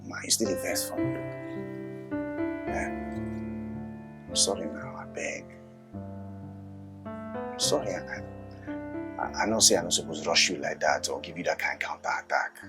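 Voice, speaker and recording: An actor playing an eager, devoted young house helper in a film drama, with a Nigerian accent and emphatic, repetitive delivery. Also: male voice, deep voice, normal speed, pauses often, loud music behind the voice. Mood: nervous, pleading.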